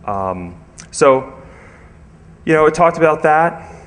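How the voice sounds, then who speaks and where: A man's voice: on the high side, animated. Hesitant man giving an informal tech talk. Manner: hesitant, halting delivery with many filler phrases. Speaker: conference speaker. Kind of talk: informal technical conference talk with audience questions. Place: conference room, speaking to an audience.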